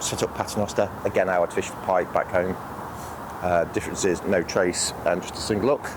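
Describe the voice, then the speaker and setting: Croaky, deep-voiced British man with a cold. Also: steady tone, a little fast, background noise. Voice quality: croaky, sniffly. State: has a cold. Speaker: fishing vlogger. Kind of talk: casual outdoor vlog talk to camera. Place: outdoors on a lake bank.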